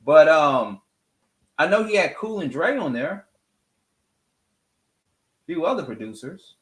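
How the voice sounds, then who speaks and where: Male show host, higher-pitched and expressive, casual music chat, emphatic repetition, echoey room. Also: a little slow. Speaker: radio morning show host.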